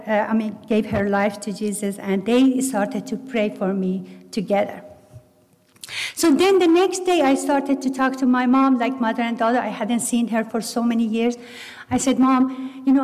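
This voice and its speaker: A middle-aged woman with a Persian accent, whose first language is Farsi, earnestly telling her personal faith story with halting restarts. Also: a little high, a little mellow. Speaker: testimony speaker. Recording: long hall reverb.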